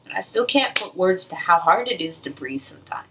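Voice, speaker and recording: A young woman performs slam poetry in a slightly low-pitched voice, a little way off from the microphone. Her delivery is earnest and emotional, moving in the measured rhythm of spoken word. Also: lively intonation, pushed and bright, a little hiss or hum. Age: young adult. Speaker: slam poet. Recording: distant, off-mic amateur recording.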